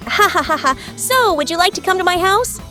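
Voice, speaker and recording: A woman acting a practical, playful young female friend in a suspense visual novel. Her voice is extremely high and bright, with lively swings in pitch, over faint music. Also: fairly quick, heavily acted. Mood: playful, inviting. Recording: music behind the voice.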